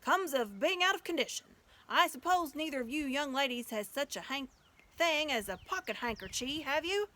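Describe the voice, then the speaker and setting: A woman's voice: high voice, animated, normal speed, bright, forceful tone, voice pushed up. A woman voicing a huge, simple, polite male giant in a storybook, with folksy, ungrammatical and apologetic speech. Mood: puffed, chatty.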